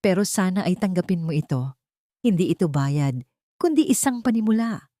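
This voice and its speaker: A woman's voice: on the low side, lively intonation, normal speed, mellow, no room sound, studio quality, natural voice. A woman voicing a heartbroken, devoted wealthy man with a cane, pleading earnestly in an audiobook drama. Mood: tender, resigned.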